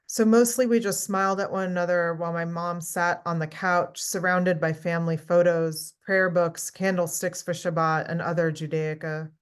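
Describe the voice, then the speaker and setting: A woman's voice: fairly low pitch, steady tone, clean tone. A woman born in Israel who grew up speaking Hebrew first, reading her own memoir aloud and talking it over hesitantly. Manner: halting, thoughtful, many restarts in talk. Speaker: author reading from her book. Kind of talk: casual conversation and book reading.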